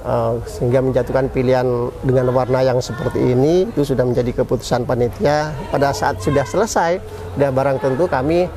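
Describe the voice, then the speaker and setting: An Indonesian man speaks as a committee representative being interviewed. His pitch is average, and he answers conversationally, often repeating key words for emphasis. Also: fairly even intonation, a little fast.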